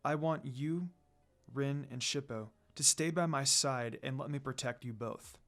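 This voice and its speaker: Guy doing a cool demon lord in love, low quiet voice, measured and serious, fanfic story. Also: faint music behind. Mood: earnest, tender. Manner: low, soft, measured, earnest. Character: solemn, tender, cool-headed male demon lord in love.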